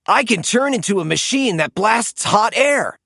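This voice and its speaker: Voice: mid-range voice, lively intonation, unhurried, creaky, a bit bright, no echo, studio quality, voice pushed up, full character voice. A narrator voicing a cheerful, brave monster truck hero in a children's storybook. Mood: eager, excited.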